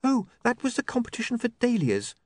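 A man's voice: very high voice, huge pitch swings, a little fast, a little mellow, dry recording, voice pushed up, exaggerated voice. Guy doing a prim proper young lady, fussy and precise, voice swoops all over.

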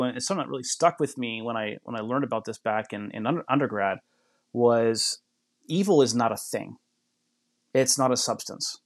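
A man's voice: mid-range voice, no echo. A man speaking as a podcast guest, thinking aloud and explaining in a reflective, conversational way.

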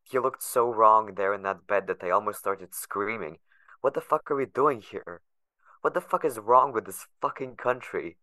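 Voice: medium pitch, normal speed, sharp tone, no echo, natural voice. An actor playing a sardonic, guarded young adult patient held in a facility, narrating in the first person with bitter sarcasm. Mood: angry.

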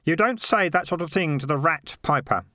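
A mild, earnest young man, eager and a little anxious, pitched well above a plain man's voice, as a male storyteller puts him on. He talks plainly and sincerely in a storybook reading. The recording is close and dry. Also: animated, moderate pace, pushed and bright, no echo. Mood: earnest, worried.

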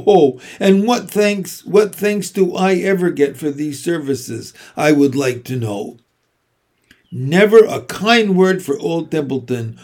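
A man voicing a sarcastic, self-pitying old male rat from a storybook, mocking and full of drawn-out complaint. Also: fairly high pitch.

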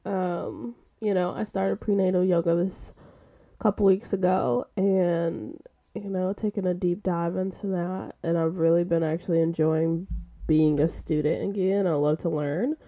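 Stuffy-nosed woman with a gravelly low voice. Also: unhurried, phone-quality sound. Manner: laughing, playful, animated asides. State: congested, stuffy nose. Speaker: podcast co-host. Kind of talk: casual chat.

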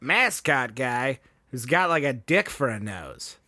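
Bright-voiced casual podcast guy. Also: medium pitch, big pitch swings, slow pace, no echo, clean studio sound. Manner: loose, profane, many fillers and restarts. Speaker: podcast co-host. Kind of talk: casual music chat.

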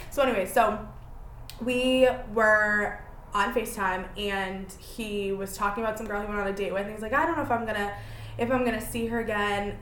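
A woman's voice: medium pitch, fairly bright tone, a little hiss or hum. Twenties woman, solo podcast host; chatty, self-mocking, rambly; echoey room. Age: 20s. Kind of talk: casual solo podcast chat.

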